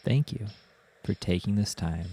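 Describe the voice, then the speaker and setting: A man narrating a guided meditation as the guide, in a soothing, gentle manner with unhurried instructions. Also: lowish voice, gravelly, husky.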